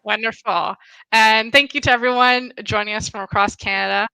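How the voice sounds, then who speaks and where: Woman host of a virtual school event. Bright, slightly high voice, dry and close. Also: fairly even intonation, moderate pace, no echo, rough recording. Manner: upbeat, clear announcements. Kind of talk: scripted live-stream hosting.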